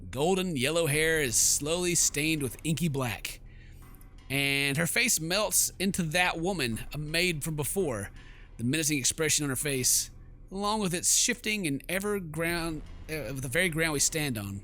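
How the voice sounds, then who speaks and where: A man hosting a game playthrough, mixing jokey commentary with theatrical read-aloud narration in an animated manner. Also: a little high, moderate pace. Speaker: gaming video host.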